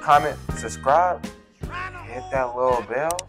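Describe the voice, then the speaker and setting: A man's voice: medium pitch, takes it slowly, fairly bright tone, soft background music. A man giving an upbeat, sing-song intro to his channel's video. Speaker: youtuber host.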